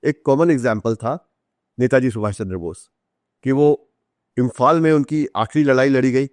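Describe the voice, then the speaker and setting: A man's voice: medium pitch, normal speed, a little mellow, no echo. A man with an Indian accent explaining things as a podcast guest, articulate, repeating phrases for emphasis and mixing in English terms. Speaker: author guest. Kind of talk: podcast interview, explanatory talk.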